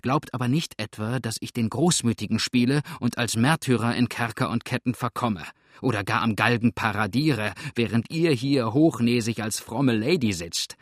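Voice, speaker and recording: A man's voice: mid-range voice, normal speed, dry recording, natural voice. Man playing a grim, hunted outlaw, dry sound. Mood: sneering threat. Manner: grim, cursing, threatening, sneering. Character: hunted, ruthless male fugitive outlaw, exhausted and menacing. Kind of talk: audiobook character acting.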